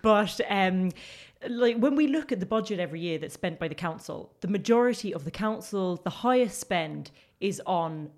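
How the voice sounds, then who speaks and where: A woman's voice: medium pitch, animated, moderate pace, hardly any pauses. A young woman from London with a London accent, a guest on a casual podcast, talking earnestly with many fillers and restarts. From England. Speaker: guest, local election candidate.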